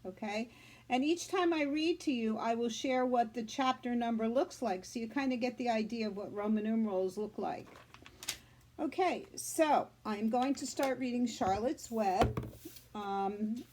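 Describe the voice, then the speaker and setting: High-voiced woman reading to young children. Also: a little mellow, slight background noise. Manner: simple explanatory teaching, deliberate emphasis. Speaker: children's story reader, school media specialist. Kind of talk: read-aloud for young children.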